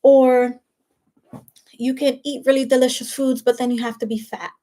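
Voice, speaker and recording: High-voiced woman with a Spanish accent. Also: flat delivery, normal speed, warm tone. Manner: chatty, peppered with "like" and "right?"; emphatic and preachy. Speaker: life coach. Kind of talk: casual motivational monologue.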